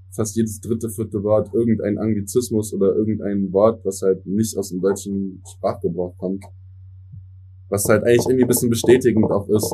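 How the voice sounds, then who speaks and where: Laid-back young man, mellow low voice. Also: breathy edge, low-quality recording. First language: English. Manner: relaxed, mixes English words into German. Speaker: musician guest. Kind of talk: casual interview answers.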